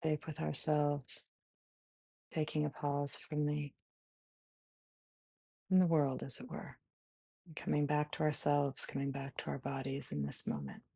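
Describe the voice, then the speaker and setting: Female meditation guide, mellow and even, gentle short phrases with long pauses, close dry sound. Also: deep voice, vocal fry, breathy edge, no echo. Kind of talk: guided body scan meditation.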